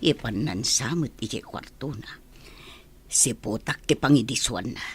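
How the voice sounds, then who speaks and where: A man's voice: mid-range voice, animated, measured pace, breathy edge, soft background music. A man voicing a nosy, grumbling female household helper in an amateur audio drama, hesitant and questioning, with muttered asides. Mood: grumbling, scheming.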